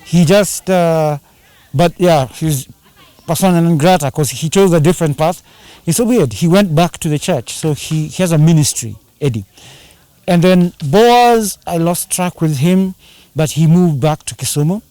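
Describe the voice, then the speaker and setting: A man's voice: on the high side, lively intonation, normal speed, warm tone, dry recording. A man chatting casually in an interview, rambling and slipping into Swahili slang. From Kenya. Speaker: band singer and drummer.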